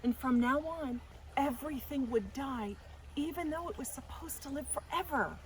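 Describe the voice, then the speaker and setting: A woman reading a children's story aloud as a storytime host, with deliberate, dramatic expression. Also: high-pitched. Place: outdoors in a garden. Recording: faint outdoor noise, birds or animals. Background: faint outdoor ambience.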